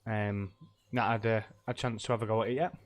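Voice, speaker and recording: Stuffy, low-voiced streamer man. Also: level tone, fairly quick. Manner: rambling, chatty, laughs often, many ums. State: stuffy from a cold. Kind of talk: casual live-stream chat while playing.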